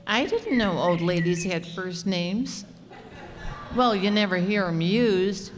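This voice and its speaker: A woman's voice: medium pitch, expressive, takes it slowly. A woman acting an inquisitive, teasing little girl who stubbornly doubts, in a radio play. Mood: curious. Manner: teasing, matter-of-fact questioning. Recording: echoey hall, noisy live stage recording.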